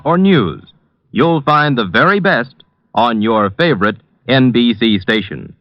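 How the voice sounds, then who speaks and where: Male network announcer, American, mid-pitched, lively intonation, vintage radio promo, dull sound. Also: no echo. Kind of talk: scripted old radio promo.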